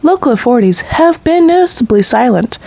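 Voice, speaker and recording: Slightly lo-fi news lady, highish voice, formal and serious, reading radio headlines. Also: sing-song, brisk, warm tone, no room sound, heavily acted. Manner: formal, crisp newsreader delivery. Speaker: news anchor. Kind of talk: scripted radio news report.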